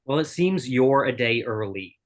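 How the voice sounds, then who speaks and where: A woman's voice: extremely low pitch, lively intonation, moderate pace. A woman playing a driven, short-tempered female dancer in a screenplay table read, curt and sardonic. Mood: cool, dismissive.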